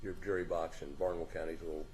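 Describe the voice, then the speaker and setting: A man questioning a witness in a courtroom, halting and restarting his questions in a folksy way. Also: low voice, little pitch movement, darkish tone, faint music behind, poor audio. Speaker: defense attorney questioning a witness. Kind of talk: courtroom examination. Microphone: courtroom microphone.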